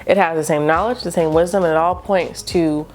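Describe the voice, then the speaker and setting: A woman's voice: deep voice, steady tone, fairly quick. A young woman vlogging to camera, chatty and casual, saying "y'all", with a stuffy nose from a cold. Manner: chatty, southern-tinged "y'all", laughs lightly. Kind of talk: casual faith vlog to camera. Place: room lit by natural daylight.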